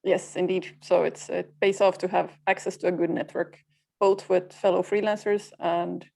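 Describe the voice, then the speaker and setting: A woman in her thirties from Finland, a native Swedish speaker, talks about her work in a plain, matter-of-fact way. Her voice sits fairly low and has a gravelly, creaky edge, with small "uh" pauses between thoughts. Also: flat delivery, a little mellow. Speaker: guest, freelancer community co-founder. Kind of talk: podcast interview.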